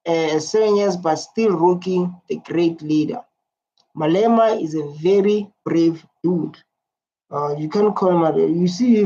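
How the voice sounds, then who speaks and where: Dark-toned rambling reaction commentator. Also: mid-range voice. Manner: rambling, heavy use of "like" and restarts. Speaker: reaction video host. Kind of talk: casual commentary reading out viewer comments. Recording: dull lo-fi sound.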